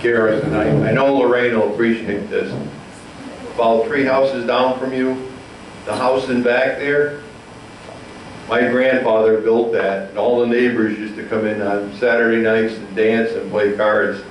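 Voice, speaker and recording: A man in the audience calmly recalling a house his grandfather built. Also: medium pitch, unhurried. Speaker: audience member. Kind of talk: casual recollection. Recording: echoey hall, distant mic, faint noise.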